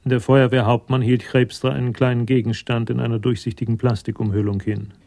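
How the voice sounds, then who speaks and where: A man's voice: medium pitch, flat delivery, fairly quick, creaky, a little mellow. A man narrating a German radio play in a sober, composed literary style.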